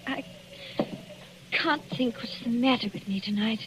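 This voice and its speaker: A nervous young English wife. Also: female voice, fairly high pitch, a little slow, a bit bright. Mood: frightened. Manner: anxious, reluctant, clipped replies. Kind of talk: 1940s radio drama acting. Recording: dull lo-fi 1940s radio broadcast with faint hiss.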